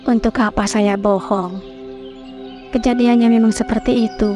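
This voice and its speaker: A woman voicing an older village maid in a horror cartoon, telling things slowly and plainly. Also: on the high side, fairly even intonation, dark tone, soft background music, natural voice. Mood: guarded. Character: older village woman servant, calm and guarded.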